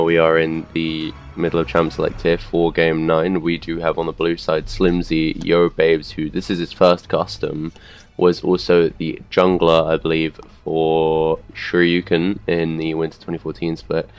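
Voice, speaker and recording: A young man commentating a custom game he also plays in, his voice a bit hoarse, rambling and correcting himself as he goes. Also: extremely low pitch, little pitch movement, moderate pace, faint music behind. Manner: rambling, unsure, self-correcting, filler-heavy.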